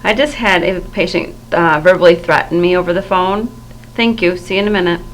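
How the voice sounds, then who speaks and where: A woman's voice: fairly low pitch, fairly quick, very noisy, natural voice. A woman playing an earnest, flustered medical office staffer in a training role-play, speaking politely with some hesitation. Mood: worried.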